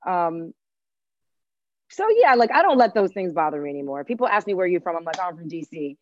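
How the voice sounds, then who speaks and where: A woman's voice: fairly low pitch, big pitch swings, a little fast, dull audio. A woman from Cuba who grew up in Washington D.C., speaking casually and emphatically as an interview guest. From born in Cuba, grew up in Washington D.C.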